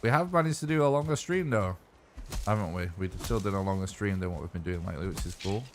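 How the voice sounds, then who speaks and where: A man with a deep, low voice talks through a live gaming stream in a loose, rambling way. His voice is going slightly hoarse. A faint hiss sits behind the desk microphone. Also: fast talker. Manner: rambling, relaxed, thinks aloud while playing.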